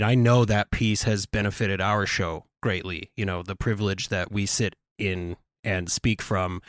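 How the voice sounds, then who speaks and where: A man's voice: sits low, dry recording, clean studio sound. A man co-hosting a podcast, talking earnestly and reflectively, thinking aloud as he goes. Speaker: podcast co-host. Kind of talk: casual podcast discussion.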